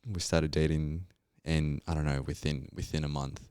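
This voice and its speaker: A man's voice: a bass voice, flat delivery, normal speed, darkish tone, clean tone, no echo, clean studio sound. A young man from Colombia with a Colombian Spanish accent, a podcast guest telling his story in a reflective, casual way with lots of fillers. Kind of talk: casual interview chat.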